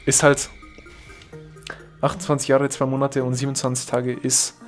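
A young man from Germany, a gaming YouTuber chatting casually over his gameplay, rambling and correcting himself, reacting loudly to the game. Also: medium pitch, monotone, moderate pace, soft background music.

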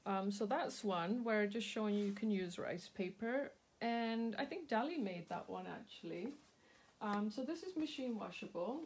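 A woman's voice: mid-range voice, lively intonation, darkish tone, slightly rough, rough recording. A woman hosting a live craft demonstration, chatty and full of ums, with a slightly sore throat. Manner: slow, chatty, many ums, greets viewers by name. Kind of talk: casual live craft demo. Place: indoor craft table.

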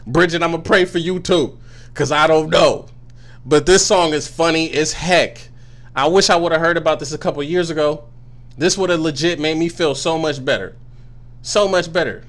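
A young adult man hosting a music reaction video, chatting casually in an excitable, slangy way that is full of laughter, recorded close on a studio mic in a small room. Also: on the high side, normal speed. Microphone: studio mic on a boom, headphones on.

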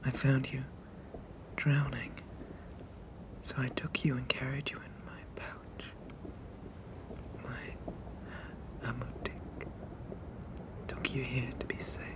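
A tender sea monster father, played by an ASMR performer whispers to a child in a breathy hush. The delivery is extremely slow and soothing, doting and possessive, in the manner of an intimate roleplay. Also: medium pitch, little pitch movement, lightly acted. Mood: doting, protective. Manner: soothing, hushing, slow and deliberate.

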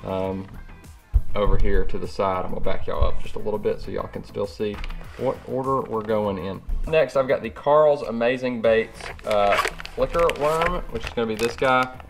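Casual American male vlogger for an unboxing review, fairly low voice, chatty and informal, music underneath. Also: normal speed, gravelly. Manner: informal, addresses viewers as y'all. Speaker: fishing channel host. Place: indoors at a cluttered worktable.

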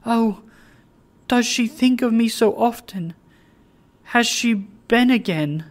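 A reader voicing a tender young woman who pleads in high, emotional tones. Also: moderate pace, lightly acted. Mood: anxious.

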